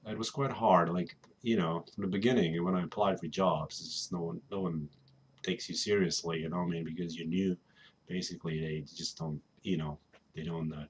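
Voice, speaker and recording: Husky low-voiced Slovenian man rambling. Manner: rambling, repeats "you know what I mean". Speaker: vlogger. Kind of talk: casual personal chat to camera. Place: home room in England. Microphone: webcam.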